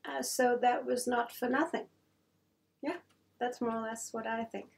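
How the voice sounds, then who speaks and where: Woman, German-English accent, panel guest, sincere and plain, fairly high pitch. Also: little pitch movement, moderate pace, vocal fry. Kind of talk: panel chat. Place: small studio with a world map.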